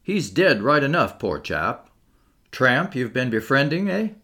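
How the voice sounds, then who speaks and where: Man playing a brisk doctor, animated. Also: mid-range voice, exaggerated voice. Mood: matter-of-fact. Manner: brisk, clipped phrasing. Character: brisk, casual male English doctor.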